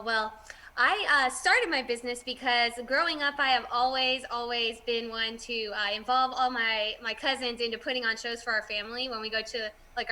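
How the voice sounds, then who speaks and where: A woman's voice: on the high side, sharp tone. A young woman, a guest who runs a party entertainment business, chatting casually and laughing often on a video call.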